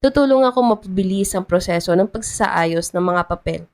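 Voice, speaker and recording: A woman storyteller playing a formal, reserved woman town treasurer with a soft heart in a romance story. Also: fairly low pitch, lively intonation, brisk. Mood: sincere, moved.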